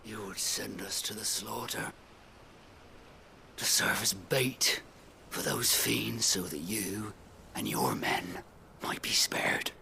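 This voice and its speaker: An actor playing a bitter, distrustful village man, speaking slowly with scornful accusation in a breathy, creaky voice. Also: lowish voice, expressive, pushed and bright, a little hiss or hum, exaggerated voice. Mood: bitter, accusing. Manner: slow, scornful, accusing delivery.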